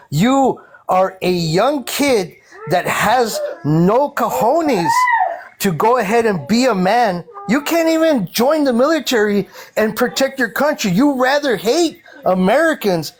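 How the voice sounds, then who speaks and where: Combative ranting man in his forties. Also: very high-pitched. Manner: combative, ranting, talks over others. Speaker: caller. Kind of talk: heated political debate.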